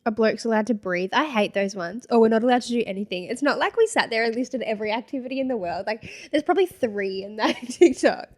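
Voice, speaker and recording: Giggly young Australian woman, higher voice. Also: expressive, quick pace, few pauses. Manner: giggly, sarcastic, laughs often. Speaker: podcast co-host. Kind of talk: casual chat reading comments aloud.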